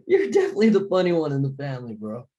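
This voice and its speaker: A man's voice: a little high, huge pitch swings, rapid-fire, warm tone, lo-fi sound. A man playing a boorish, tipsy, joking buddy in a short film table read over video call. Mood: boorish, teasing.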